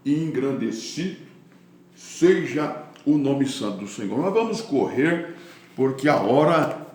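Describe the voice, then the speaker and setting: A man with a Brazilian accent preaching a Bible teaching sermon in deliberate phrases, with devout interjections of praise. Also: mid-range voice. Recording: very echoey, reverberant room.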